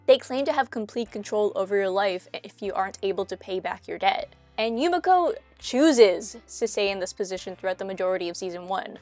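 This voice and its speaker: A young woman from Canada, a little stuffy and nasal from a cold, talks through a scripted commentary in a chatty, self-mocking way, tossing in quick asides. Her pitch sits in the middle for a woman, and soft music plays faintly behind her. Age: young adult. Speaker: commentary YouTuber.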